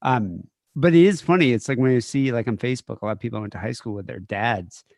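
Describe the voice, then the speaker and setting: A man's voice: mid-range voice, quick pace, a little mellow, no room sound, studio quality. A man in his forties, a podcast co-host, joking loosely and swearing as he sets up a livestream. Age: 40s. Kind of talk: casual banter before a livestream.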